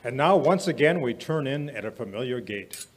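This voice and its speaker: A man playing an affable 1940s radio announcer and pitchman, theatrical and deliberate on a live stage. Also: expressive, noisy background, close to an everyday voice. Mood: dramatic. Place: small stage in a museum, live performance. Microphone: vintage-style stand microphone.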